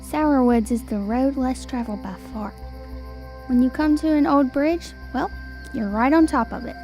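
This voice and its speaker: High mellow voice, girl acting as a sly little old storyteller lady, folksy old-timey talk, radio play. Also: normal speed, faint music behind, low-quality recording. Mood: coaxing. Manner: folksy, old-fashioned phrasing. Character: small, old, hunched travelling storyteller woman, folksy and sly.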